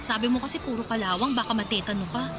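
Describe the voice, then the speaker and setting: Playful, high-voiced teasing young woman played by an actress. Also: heavy background noise, lightly acted. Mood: whiny, grumbling. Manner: playful, exaggerated, drawn-out teasing. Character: teasing, dramatic young woman, the heroine's chatty cousin. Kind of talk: Filipino radio drama acting. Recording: music behind the voice.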